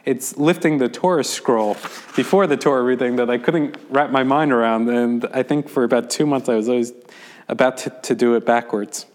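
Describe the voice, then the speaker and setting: A man's voice: medium pitch, normal speed, a little mellow. An American man, a rabbi, giving an informal lecture to a class and explaining things conversationally with frequent ums and restarts. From United States.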